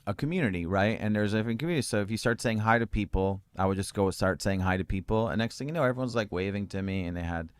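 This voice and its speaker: A man telling stories as an interview guest, calm and even-toned, with many fillers and frequent laughs. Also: on the low side, quick pace, few pauses, smooth. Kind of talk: relaxed conversational storytelling.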